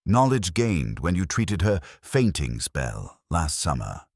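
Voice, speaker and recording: A man playing a shrewd, courteous Belgian detective in an audiobook, speaking in formal, measured, theatrical deductions. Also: deep voice, wildly swooping pitch, husky, no room sound, studio quality, exaggerated voice. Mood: pointed, accusing.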